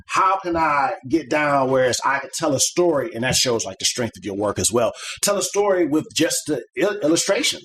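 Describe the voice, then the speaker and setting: Middle-aged male podcast guest, average pitch, loose and rambling conversational delivery. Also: fairly bright tone, a little hiss or hum, rough recording. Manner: rambling and conversational, many "like" and "you know" fillers. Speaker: podcast guest, comic artist. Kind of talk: casual interview chat.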